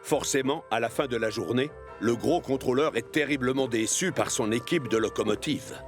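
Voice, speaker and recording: A man narrates a children's cartoon story. His pitch is average for a man. Music plays clearly behind him. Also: normal speed.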